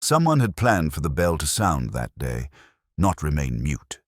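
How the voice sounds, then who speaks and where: A man narrating a scripted first-person detective story in a measured, formal, literary manner. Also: rumbling low, very animated, no room sound, clean studio sound.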